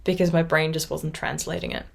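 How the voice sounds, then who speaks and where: A woman of about thirty whose first language is German talks in a relaxed, rambling way. Her voice sits a little lower than most women's. She chats casually, reflecting aloud as she hosts her own knitting podcast. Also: brisk, creaky. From Austria. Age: around 30. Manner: rambly, chatty, self-reflective. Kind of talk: casual vlog chat.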